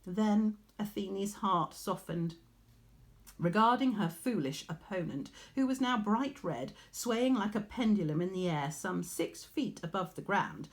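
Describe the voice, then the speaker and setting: A woman narrates a storybook in a slightly low voice. Her delivery is slow and measured, with expressive storytelling phrasing. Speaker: teacher reading a story aloud.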